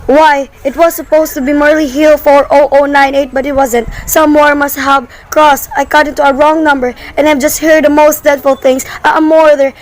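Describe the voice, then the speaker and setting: A woman's voice: sits high, flat delivery, normal speed, few pauses, close to an everyday voice, rough recording. A woman playing an anxious, demanding, sickly wife in an amateur radio play, speaking in an agitated, imperious way. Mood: alarmed, urgent.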